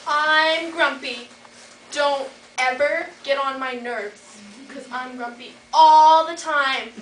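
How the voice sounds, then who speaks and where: A woman's voice: high-pitched, fairly even intonation, slow, fairly bright tone, a little hiss or hum. A teenage girl playing a grouchy, complaining male dwarf in a school play. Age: teens. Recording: echoey room from far off.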